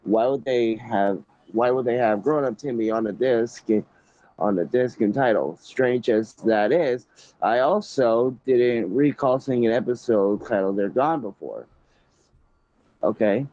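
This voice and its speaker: A man's voice: medium pitch, measured pace, warm tone, no echo, muffled recording. A young man hosting, reading a story aloud casually, often stumbling and restarting.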